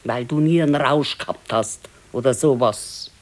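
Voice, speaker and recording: An actress playing a resigned, wistful elderly wife with a Bavarian accent in a naturalistic radio play, speaking plainly and haltingly. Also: female voice, extremely low pitch, darkish tone. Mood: matter-of-fact, regretful. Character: wistful, resigned old pensioner's wife, thrifty.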